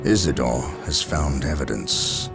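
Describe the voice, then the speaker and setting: An actor playing a grave, battle-hardened Space Marine captain in a science fiction game, formal and commanding. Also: male voice, rumbling low, lively intonation, unhurried, gravelly, over loud music, full character voice. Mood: solemn, resolute.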